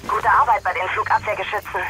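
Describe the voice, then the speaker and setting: An actress playing a calm military radio dispatcher who gives clipped orders through a radio filter. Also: female voice, medium pitch, fast talker. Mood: brisk, composed. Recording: noisy radio transmission.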